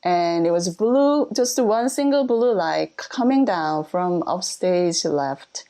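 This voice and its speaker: Korean-born woman, low voice, unhurried. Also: lively intonation, warm tone. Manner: thoughtful, many pauses and fillers, light laughs. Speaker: guest lighting designer. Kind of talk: casual interview.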